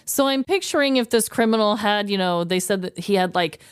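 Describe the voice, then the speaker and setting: A woman co-hosting a podcast in casual chat, expressive and reactive as she talks things over. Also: mid-range voice, fairly quick, smooth, dry recording, clean studio sound. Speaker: podcast co-host.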